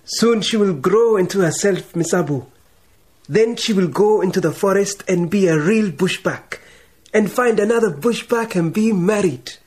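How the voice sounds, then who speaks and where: An actor playing a gentle, respectful young Kenyan servant boy in a radio drama. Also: high-pitched, moderate pace, close to an everyday voice. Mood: gentle, thoughtful.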